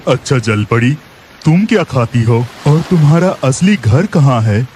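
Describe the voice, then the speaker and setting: A man's voice: mid-range voice, animated, a little slow, a little mellow, a little hiss or hum, close to an everyday voice. A man playing a resourceful, caring village husband in a children's cartoon story. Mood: curious.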